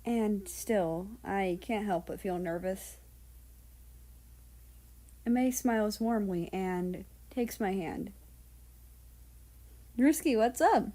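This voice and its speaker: Female story reader and host, unhurried delivery, soft-edged mellow tone, casual and scripted. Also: mid-range voice. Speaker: audiobook-style reader and channel host. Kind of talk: scripted story narration and casual outro chat.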